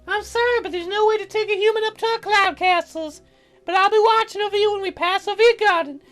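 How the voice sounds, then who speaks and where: Woman putting on a high, girlish, teasing fairy. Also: fast talker, a bit bright, faint music behind. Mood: sweetly apologetic. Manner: girlish, teasing, sing-song delivery. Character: playful, teasing young girl cloud fairy. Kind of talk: visual novel character read in a let's play.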